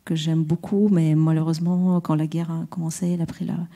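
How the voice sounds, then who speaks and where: A woman poet and translator speaking at a round-table discussion, halting and searching for her words. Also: low-pitched, level tone, dark tone.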